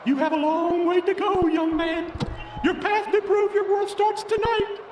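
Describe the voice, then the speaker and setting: A man's voice: very high-pitched, steady tone, highs cut off. A man voicing an eerie, mocking ghostly mentor in a video game, speaking in a theatrical, ominous way. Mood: ominous scolding. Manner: theatrical, ominous, drawn-out phrasing. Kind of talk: video game cutscene acting. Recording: echoey, distant, noisy.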